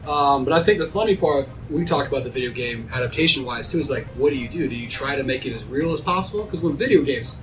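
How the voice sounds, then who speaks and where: A man in relaxed, casual conversation, speaking quickly and acting out little imagined quotes as he goes. He sits some distance from the microphone, and music plays clearly behind him. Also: medium pitch. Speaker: panelist. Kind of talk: casual chat.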